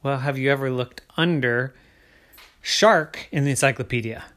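A man's voice: mid-range voice, moderate pace, fairly bright tone, lightly acted. Man playing a gloating, hungry storybook shark. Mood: smug. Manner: gloating, savouring words.

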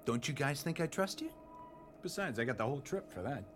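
A man's voice: medium pitch, big pitch swings, brisk, slight background noise. A man playing a cocky, wisecracking smuggler starship captain in a radio drama, with a brash, slangy delivery. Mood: sardonic. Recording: loud music behind the voice.

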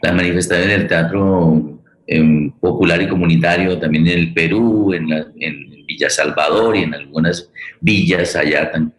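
Forum moderator: deep-voiced mature man, reflective, halting delivery, roomy echo. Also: steady tone. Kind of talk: reflective conversational commentary.

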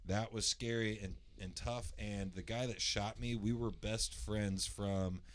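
A man from the Indianapolis area with a naturally loud voice, casually telling a long personal story, rambling with lots of fillers and restarts and clearing his throat. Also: on the low side, fairly even intonation, a little slow. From Indianapolis area, USA.

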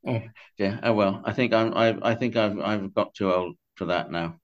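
Muffled older man, halting podcast guest. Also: fairly low pitch. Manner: tentative, self-correcting, halting. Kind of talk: conversational book interview. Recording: dull, band-limited audio.

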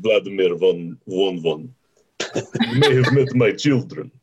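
A man's voice: low-pitched, lively intonation, measured pace, husky, heavily acted. A man voicing a pompous, theatrical vampire father in a podcast audio drama. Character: pompous vampire father introducing his children.

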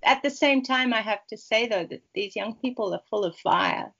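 A woman author from Peru, a Spanish native speaker, talking in a rambling, warm way with many restarts in a casual interview. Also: a little high, moderate pace, creaky, dull audio. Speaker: author guest.

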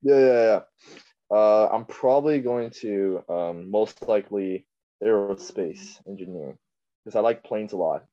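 A young man from Taiwan, a podcast guest chatting casually, laid-back, laughing often and trailing off quietly. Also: on the low side, measured pace, muffled recording. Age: young adult. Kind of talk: casual chat.

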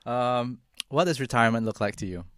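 A man hosting a podcast, asking questions in a relaxed, easygoing way with frequent uhs. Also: mid-range voice, brisk, no echo, clean studio sound. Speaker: podcast host. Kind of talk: casual interview chat.

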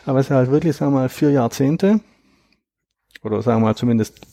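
Gravelly, husky man explaining calmly. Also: medium pitch, no room sound, clean studio sound. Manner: reflective, measured, explains at length. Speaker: interview guest, author. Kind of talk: conversational interview.

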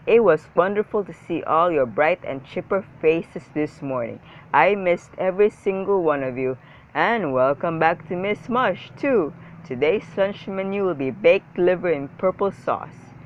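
An upbeat, self-important school principal as a storybook reader puts it on delivers hearty, emphatic announcements. The voice is mid-pitched and a little muffled by lo-fi sound. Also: animated, measured pace, slight background noise. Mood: hearty, pompous.

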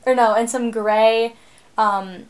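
Teen girl cohosting a podcast, kinda high voice, rambly and giggly. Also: slow pace. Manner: animated, laughing, rambling. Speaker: podcast co-host. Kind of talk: casual chat.

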